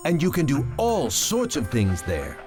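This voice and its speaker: An actor voicing an excitable, bashful male scientist in an anime game, deferential and fretful, with a flustered, stammering delivery. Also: medium pitch, measured pace. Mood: explanatory. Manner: stammering, flustered, eagerly explanatory. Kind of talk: English anime game dub acting. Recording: music behind.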